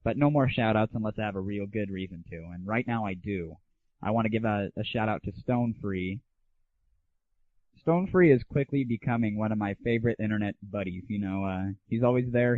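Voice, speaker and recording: Guy hosting a gaming show, kinda low voice, irreverent and slangy, tinny narrow old internet audio. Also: a little fast, dry recording. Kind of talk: casual solo rant and game review.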